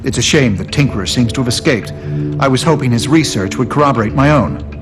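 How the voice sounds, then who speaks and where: A man's voice: mid-range voice, expressive, quick pace, music in the background, lightly acted. A man acting a brainy, analytical superhero scientist in a video game, speaking formally and methodically. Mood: measured, analytical.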